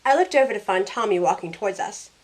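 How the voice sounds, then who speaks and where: An actress plays a snarky young woman in an audio drama. She tells her own story with dry sarcasm and a teasing edge, at a medium pitch. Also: expressive, quick pace, close to an everyday voice. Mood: wry narration. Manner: wry, sarcastic. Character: snarky young woman thrown back aboard the Titanic. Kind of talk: first-person scripted narration and acted dialogue.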